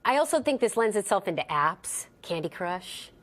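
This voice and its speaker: A woman on a late-night panel, giving quick, emphatic opinions. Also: medium pitch, sing-song, fairly bright tone. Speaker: panel guest.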